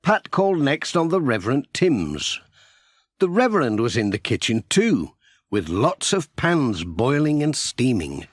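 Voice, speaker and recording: A British man reads a children's story as narrator. His pitch is lively and his pace unhurried, in a close, dry recording. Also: dry recording. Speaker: audiobook narrator.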